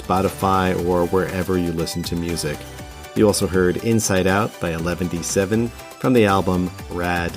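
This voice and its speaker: Male podcast narrator, deep pitch, relaxed and wry storytelling delivery, music bed behind. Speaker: podcast host and producer.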